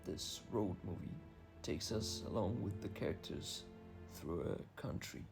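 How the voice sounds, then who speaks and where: Gravelly man narrating a film review. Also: low voice, lively intonation, measured pace, over music. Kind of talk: scripted voice-over narration.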